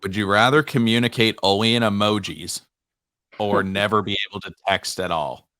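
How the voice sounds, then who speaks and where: Podcast co-host, young man: low pitch, dry close sound, casual and joking. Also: fairly even intonation, moderate pace, bright, forceful tone, no room sound. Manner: easygoing, playful teasing. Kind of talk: casual sports podcast chat.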